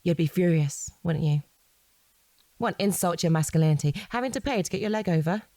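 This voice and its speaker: An actress playing a shrewd, composed police detective who questions a suspect in a controlled, insistent way in an audio drama. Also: female voice, lowish voice, a little fast, warm tone, dry recording, natural voice. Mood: cool, provoking.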